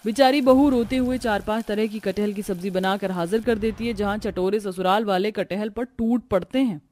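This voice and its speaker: A woman's voice: mid-range voice, moderate pace, a little mellow. A woman storyteller reading scripted, dramatic narration for a family cartoon.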